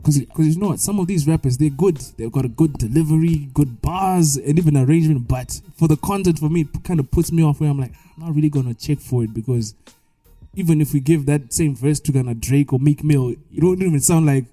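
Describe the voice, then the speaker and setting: A Zimbabwean man with a very dark, mellow voice, co-hosting a casual podcast debate. He pushes his point and keeps restarting mid-sentence. Faint music sits behind him. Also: a little high, no echo. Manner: talks over others, quick restarts. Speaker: podcast co-host.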